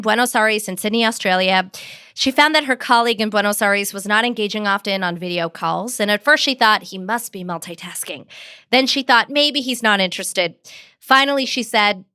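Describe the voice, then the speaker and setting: Woman, middle-aged, smooth resonant voice, confident, structured explanations, dry close sound. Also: mid-range voice, bright, forceful tone, no echo. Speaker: communications expert guest. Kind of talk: interview answers, advice with examples.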